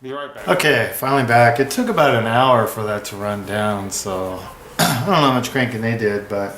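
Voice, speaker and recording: A man's voice: mid-range voice, expressive, normal speed, fairly bright tone. A man giving a casual, rambling hands-on product review with an informal, offhand way of talking. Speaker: product review video host. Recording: echoey room with clear background noise.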